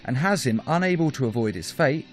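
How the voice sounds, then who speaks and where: A man's voice: medium pitch, lively intonation, fairly quick. A man hosting a countdown video, reading scripted commentary in an emphatic, explanatory way. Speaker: host of a top-five countdown video. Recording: music behind the voice.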